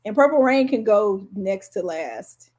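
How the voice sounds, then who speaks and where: A woman's voice: mid-range voice, animated, a little slow, a little mellow. A woman co-hosting a podcast, talking casually and emphatically about music as an opinionated fan. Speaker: podcast co-host.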